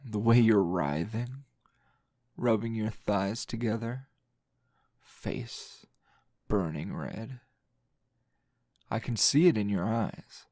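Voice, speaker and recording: A man playing a weary, teasing male teacher hero in an intimate ASMR roleplay, with a low gravelly voice and lingering pauses. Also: dry recording, studio quality, exaggerated voice. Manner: slow, breathy murmuring with sighs and long pauses.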